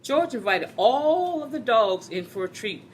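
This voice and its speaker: A woman's voice: a little high, big pitch swings, a little slow, slight background noise. A woman reading a children's story aloud, expressively, addressing her young listeners directly.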